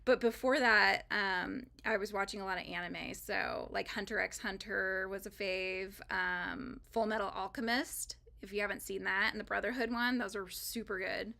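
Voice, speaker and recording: Chatty crochet lady rambling along, bright voice with lots of vocal fry. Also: mid-range voice, fairly even intonation, normal speed, hardly any pauses. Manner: chatty and rambling, with frequent fillers and laughs. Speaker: crochet livestream host. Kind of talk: casual live chat while crocheting.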